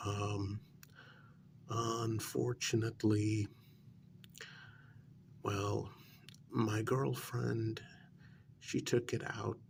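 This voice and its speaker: A man playing a nervous, deferential night-shift road worker in a soft-spoken ASMR role-play, halting and polite as he gives his account. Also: lowish voice, gravelly, a little hiss or hum, full character voice. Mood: subdued, explaining. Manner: halting, deferential, with frequent pauses and polite sirs. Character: deferential, nervous night road-crew workman, adult man, hesitant witness. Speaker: ASMR performer.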